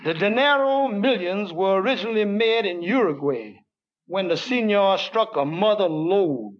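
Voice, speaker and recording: A deadpan tavern worker with a fairly high voice. He delivers his lines slowly and flatly in 1940s radio comedy style. The recording sounds dull, like an old broadcast. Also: a little hiss or hum.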